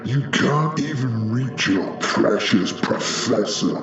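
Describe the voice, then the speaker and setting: A man's voice: medium pitch, animated, takes it slowly. A man playing a pompous, power-hungry ancient demon duke, gloating and ranting grandly in an audio drama. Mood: menacing, gloating. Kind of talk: audio drama villain acting. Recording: distant, echoey, muffled voice. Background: music.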